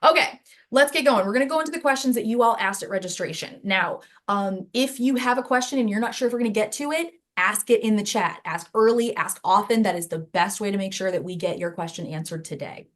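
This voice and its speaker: A woman's voice: medium pitch, a little fast, fairly bright tone. A woman hosting an online session in a casual, chatty and slightly rambling way, speaking over a video call. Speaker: host of an online learning session. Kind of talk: casual moderated chat.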